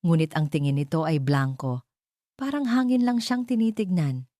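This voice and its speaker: A woman's voice: deep voice, moderate pace, warm tone, no room sound, clean studio sound. A woman with a Filipino accent reading a scripted, dramatic story as its narrator.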